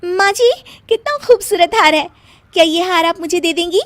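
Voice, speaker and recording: A woman's voice: very high voice, moderate pace, dry recording, voice pushed up. A woman voicing a discontented, greedy young daughter-in-law in a cartoon, with a dramatic manner. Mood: coaxing.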